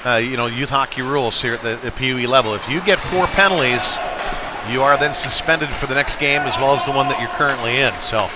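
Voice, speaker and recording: A man's voice: mid-range voice, brisk. A man doing live play-by-play of youth ice hockey in a conversational way, with filler words and casual asides. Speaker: play-by-play sports commentator. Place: indoor ice rink. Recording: noisy live rink broadcast.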